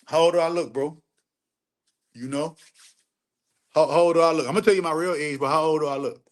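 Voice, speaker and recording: A man's voice: fairly high pitch, fairly even intonation, brisk. Profane preachy man ranting on a stream. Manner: preachy, profane, repeats phrases for emphasis. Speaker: livestream host answering chat. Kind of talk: casual unfiltered livestream rant.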